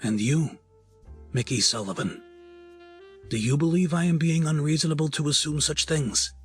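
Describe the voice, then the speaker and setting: A man playing a proud, dignified crime family patriarch in an audio drama, speaking formally and with measured gravity. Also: fairly high pitch, faint music behind, lightly acted. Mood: grave, accusing.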